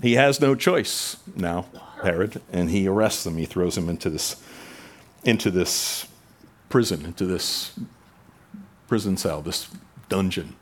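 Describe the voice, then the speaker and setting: Man, pastor, husky low voice, conversational sermon, emphatic swells. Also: measured pace, long pauses. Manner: conversational preaching, rises in pitch and volume for emphasis.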